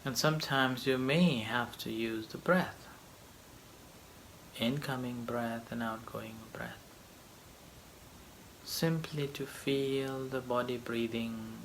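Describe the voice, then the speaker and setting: Slow-spoken male meditation teacher. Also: mid-range voice, fairly even intonation, a bit bright, slight background noise, rough recording. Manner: halting short phrases with long pauses. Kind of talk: guided meditation, slow gentle instruction.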